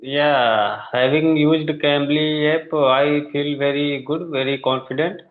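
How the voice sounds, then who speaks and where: A man's voice: a little high, fairly even intonation, slow pace. Man, Indian accent, non-native English learner, hesitant, many fillers, tinny phone-like call audio. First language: not English. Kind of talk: online conversation practice.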